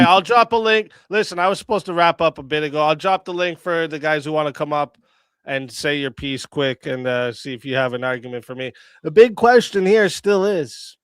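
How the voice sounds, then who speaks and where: A man hosting a live online debate, blunt and profane, who often restarts his sentences. His voice sits a little high, and the sound is dry with no room echo. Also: fairly bright tone, clean tone. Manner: profane, blunt, repeats himself. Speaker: debate stream host. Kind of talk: heated casual debate.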